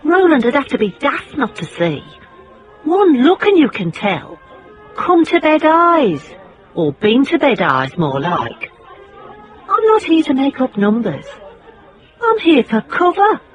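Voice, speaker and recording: An actress playing a sharp-tongued, quietly bitter British single woman in a radio drama, in dialogue and inner narration. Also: high voice, wildly swooping pitch, a little slow, muffled recording, soft background music, full character voice. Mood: wry, brooding.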